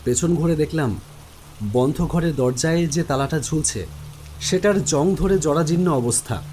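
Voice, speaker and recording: A man's voice: fairly high pitch, a little slow, darkish tone, slight background noise. A man giving dramatic first-person narration of a horror story. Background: music.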